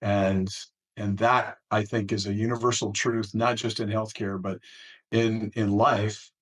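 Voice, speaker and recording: A man hosting an interview, reflecting on what he hears and posing questions in a deliberate, conversational way. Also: lowish voice, level tone, slightly rough. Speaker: interview host.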